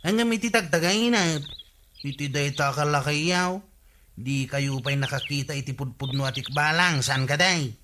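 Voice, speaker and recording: An actor playing a skeptical, chiding villager with an Ilocano Filipino accent in a radio comedy drama. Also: male voice, fairly high pitch, normal speed, background noise, natural voice.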